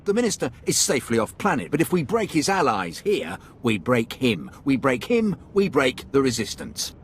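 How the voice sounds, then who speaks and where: An actor playing a tough, confident Imperial commander in a video game, speaking bluntly and emphatically. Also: male voice, medium pitch, big pitch swings, moderate pace, slight background noise. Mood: confident, persuasive. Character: tough, confident male Imperial special-forces commander, gruffly determined. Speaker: military commander character.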